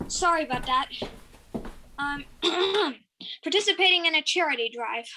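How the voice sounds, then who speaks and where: A woman's voice: high voice, expressive, normal speed, bright, forceful tone, a little hiss or hum, heavily acted, poor audio. An actress playing a fussy, chatty, eccentric lady boss in an audio drama, with prim, theatrical delivery. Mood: chatty, oblivious.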